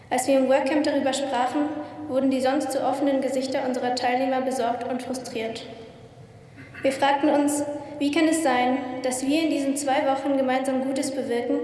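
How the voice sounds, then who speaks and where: A woman's voice: sits high, a little mellow. A young woman from Ukraine, a student, earnestly reading a prepared speech. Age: young adult. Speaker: student, workcamp leader. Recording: very echoey hall, distant mic.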